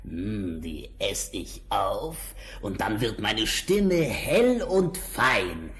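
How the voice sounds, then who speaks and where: A sly, greedy wolf from a fairy-tale record. The voice is gruff and forceful, swooping widely in pitch. It drags out its words with theatrical menace. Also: male voice, big pitch swings, extremely slow, full character voice. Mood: grumbling, scheming. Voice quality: rough, gruff, growling. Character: sly, greedy, hungry big bad wolf. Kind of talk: German fairy-tale audio play acting.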